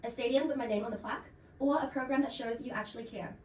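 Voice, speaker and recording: A woman's voice: a little high, fairly even intonation, fast, very noisy. A woman hosting a student role play like a game show, with a playful, jokey, theatrical scripted delivery. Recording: roomy, distant mic, loud music.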